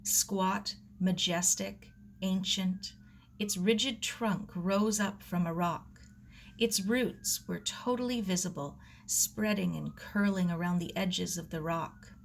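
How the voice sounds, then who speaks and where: A woman reading a children's novel aloud in measured, expressive scripted narration. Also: fairly low pitch, vocal fry, slight background noise. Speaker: audiobook reader.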